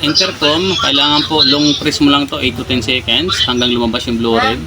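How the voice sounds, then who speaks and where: A man with a Filipino accent, a shop owner casually demonstrating products and talking in a polite, halting, instructive way. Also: medium pitch, fairly even intonation. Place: motorcycle accessories shop. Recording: clear background noise, vehicles nearby.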